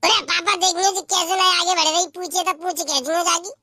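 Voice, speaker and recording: Squeaky, whiny pigeon bridegroom. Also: male voice, steady tone, brisk, bright, forceful tone, heavily acted. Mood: whiny, frustrated. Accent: western Uttar Pradesh. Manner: complaining, pleading. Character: whiny, anxious young male pigeon bridegroom. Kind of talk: comic cartoon dub.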